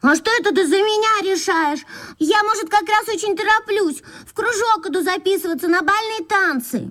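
A woman's voice: very high-pitched, normal speed, fairly bright tone. An actress playing a tough, bossy, contrary young girl in a children's radio play, speaking in a blunt, colloquial, scornful way. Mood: huffy.

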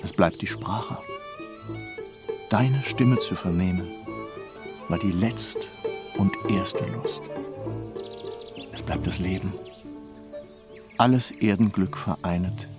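An actor playing a grand, playful old privy councillor and poet in a German radio play, musing and theatrical. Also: male voice, low voice, takes it slowly, long pauses, loud music behind the voice. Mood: wistful, tender. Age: older. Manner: theatrical, musing, swinging from exclamations to murmurs.

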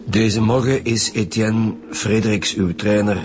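A man playing a gruff, low-voiced Flemish detective. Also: fairly even intonation, unhurried, faint music behind, close to an everyday voice. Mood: pressing. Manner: gruff, curt, pressing. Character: blunt, suspicious, impatient male lead police detective. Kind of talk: naturalistic radio crime drama acting.

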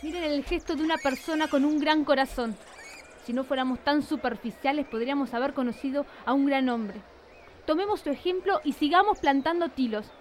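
A woman's voice: high voice, a little fast, slight background noise, lightly acted. A woman acting an earnest, kind-hearted young woman in a live radio play. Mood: urgent, stirring.

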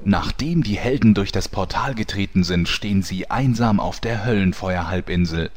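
A man narrating a fantasy radio play in a measured, epic way. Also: sits low. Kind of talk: scripted narration.

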